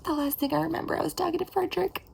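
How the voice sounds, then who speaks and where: A woman playing a ditsy, panicked abductee pleading urgently on the phone, breathy and melodramatic, in an ASMR comedy role play. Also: very high-pitched, steady tone, very fast, a little hiss or hum, voice pushed up, exaggerated voice. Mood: desperate, whispering. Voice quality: breathy, half-whispered.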